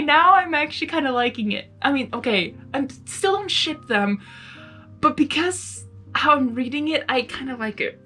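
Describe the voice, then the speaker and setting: High, animated woman reading a story. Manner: excitable, giggly. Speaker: amateur fan fiction reader. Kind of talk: story narration with casual reaction asides. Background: music.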